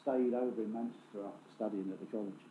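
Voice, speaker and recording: A man's voice: lowish voice, steady tone, quick pace, a little hiss or hum. Jokey rambling man, echoey muffled sound. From England, raised in Lincolnshire. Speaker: drummer being interviewed. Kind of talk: casual, rambling interview chat.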